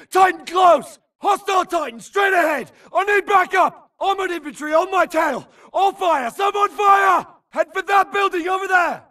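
A man's voice: very high-pitched, pushed and bright, distant mic. A man playing an infantry grunt in a military shooter game, barking urgent, clipped combat callouts. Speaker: soldier in a video game.

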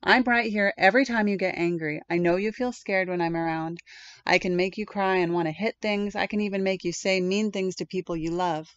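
A woman voicing a hairy red anger creature from a children's storybook, speaking calmly and reassuringly. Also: medium pitch, brisk, smooth, no room sound, dull audio, lightly acted.

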